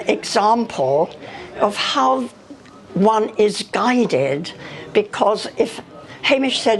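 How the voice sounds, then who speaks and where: Older lady telling stories to an audience, really slow, lots of pauses, a bit hesitant. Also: mid-range voice. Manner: hesitant, pausing often between phrases. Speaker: speaker on earth energies and dowsing. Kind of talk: unscripted spoken recollection to an audience.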